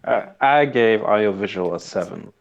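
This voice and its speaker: A man's voice: fairly low pitch, expressive, moderate pace, gravelly. A man co-hosting a podcast, giving his review casually and hesitantly as he thinks aloud, on muffled audio. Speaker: podcast host.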